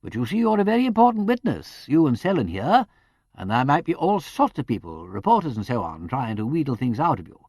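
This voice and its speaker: Brief: male reader as a polished, urbane aristocrat, animated delivery. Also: medium pitch, fairly quick, slightly rough, dry recording, clean studio sound, pitched above the performer's own voice. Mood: smooth. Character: urbane English aristocrat, smooth and tactful.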